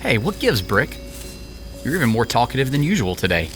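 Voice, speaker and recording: A man's voice: medium pitch, sing-song, a little fast, fairly bright tone, soft background music. A man playing a blunt, needling companion in an audio drama. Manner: blunt, teasing. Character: bored, needling, animated male companion to a soldier.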